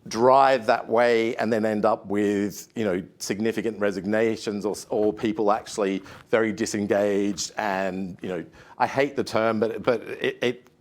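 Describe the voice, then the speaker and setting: Give me an Australian man presenting at a panel, halting and thoughtful. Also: fairly low pitch. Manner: many fillers and restarts, measured. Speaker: panel speaker. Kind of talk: conference talk.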